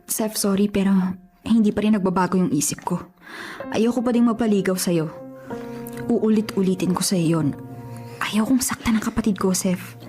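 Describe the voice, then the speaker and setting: A woman's voice: medium pitch, moderate pace, long pauses, dark tone, natural voice. A woman playing a stubborn, self-sacrificing young woman in a radio drama, natural in delivery. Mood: pleading, firm. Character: stubborn, self-sacrificing young woman resisting love for her sister's sake. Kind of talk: Tagalog radio drama acting. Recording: music behind the voice. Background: music.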